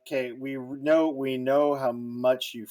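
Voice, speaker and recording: A man's voice: mid-range voice, fairly even intonation, a little slow, darkish tone. A man in a casual voice chat, teasing his friends with wisecracks. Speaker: group chat member.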